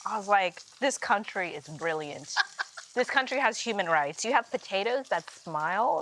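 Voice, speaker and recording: A young woman from Uganda, a guest telling lively stories in a casual kitchen chat, laughing often. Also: medium pitch, slight background noise, low-quality recording.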